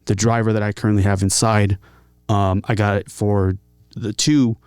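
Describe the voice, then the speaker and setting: Low-voiced man, halting and earnest. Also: little pitch movement, darkish tone, clean tone, dry recording, clean studio sound. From San Diego, California. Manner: halting, frequent repeated words, earnest. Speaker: interview guest, talkbox musician and rapper. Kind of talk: casual personal storytelling.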